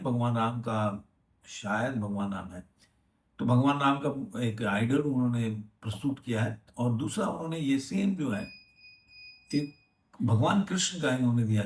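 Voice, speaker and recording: A man giving unscripted film news commentary, halting and repeating himself as he rephrases. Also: mid-range voice. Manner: halting, repetitive, rephrasing as he goes. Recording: echoey room, distant microphone.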